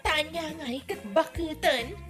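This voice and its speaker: A woman with an Ilocano accent playing a brash, dramatic young mother in a comedy drama, with exclaiming, drawn-out delivery. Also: sits high, expressive, music in the background. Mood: complaining. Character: brash, dramatic young mother defending her love life.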